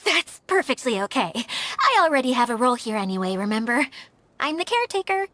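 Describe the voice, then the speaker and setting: A woman's voice: on the high side, sing-song, brisk. A woman playing a lazy, easily flustered young magical caretaker in a fantasy game, dramatic and self-deprecating. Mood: agreeable. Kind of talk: scripted fantasy game dialogue. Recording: faint music behind the voice.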